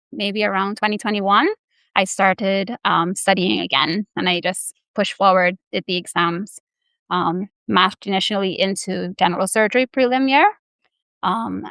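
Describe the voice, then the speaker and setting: A woman's voice: medium pitch, fairly bright tone, dry recording. A young woman from the Bahamas and Barbados, answering interview questions earnestly with many hesitant fillers. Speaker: guest, anesthesiology resident.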